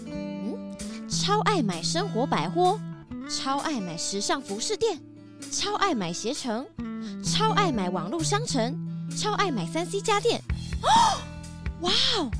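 Self-satisfied pelican tycoon, children's audio drama. High, very animated, salesy, Taiwanese Mandarin accent. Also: female voice, slow pace, pauses often, music in the background, heavily acted. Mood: haughty, surprised. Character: vain, gushing rich female pelican business tycoon. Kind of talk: children's detective radio play acting.

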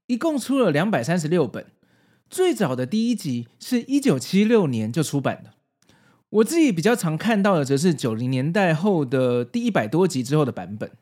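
A man in his forties speaks Mandarin with a Taiwanese accent, narrating like a video essayist. His voice sits a little high and swings widely in pitch. He explains things step by step, with nostalgic, casual asides. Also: a little mellow. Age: 40s. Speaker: host.

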